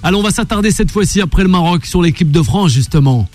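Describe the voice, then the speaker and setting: A man hosting a radio sports call-in show, brisk and quick to cut in and steer callers. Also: sits high, animated, background noise.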